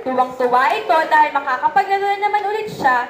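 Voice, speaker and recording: Casting: young woman storyteller, Filipino accent, high register, scripted read in a reverberant room. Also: lively intonation, fast, a crowd in the background. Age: young adult. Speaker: narrator. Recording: echoey room, faint background noise.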